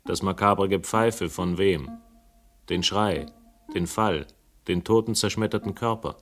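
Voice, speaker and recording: An actor playing a sardonic, agitated Londoner caught up in a murder case, in a radio play with first-person narration. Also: male voice, low voice, measured pace, a bit bright, soft background music. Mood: haunted, agitated. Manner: biting, indignant, sarcastic.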